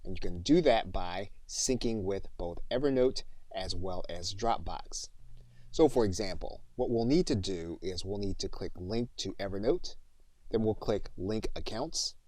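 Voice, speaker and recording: A man with a fairly low voice delivers instructional tutorial narration in a step-by-step way. The recording is dry, with little room sound around his voice. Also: moderate pace, a little mellow, no echo. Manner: step-by-step explaining. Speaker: software training instructor.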